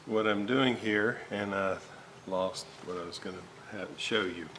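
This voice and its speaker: A man talks through a hands-on repair at an indoor bench, taking his time. His voice is fairly low yet bright, and he halts as he thinks aloud. Some noise sits behind him. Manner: halting, thinking aloud while working. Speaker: host of a radio restoration video series. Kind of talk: informal hands-on repair walkthrough. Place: indoor workbench, hands working on components. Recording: noticeable background noise.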